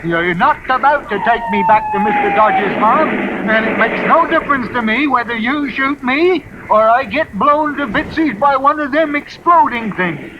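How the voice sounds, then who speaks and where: A dull, slightly noisy old radio recording of a stubborn old farmhand. His voice is very high for a man, and he grumbles in folksy, indignant radio-drama fashion. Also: very animated, moderate pace, few pauses. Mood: grumbling, stubborn. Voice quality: high-pitched old man's voice. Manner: folksy, indignant, plain-spoken.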